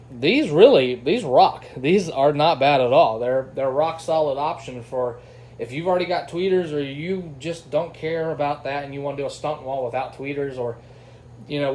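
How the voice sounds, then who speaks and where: A man's voice: on the high side, expressive, moderate pace, a little mellow. A man giving a casual, informal and enthusiastic hands-on product review. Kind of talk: casual unboxing and testing review. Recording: clear background noise.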